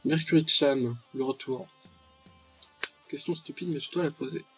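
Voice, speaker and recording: A man's voice: on the high side, normal speed, a bit bright, muffled recording, soft background music. A young man giving casual, slangy let's play commentary, with mock-dramatic, exclamatory reactions. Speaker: gaming video host.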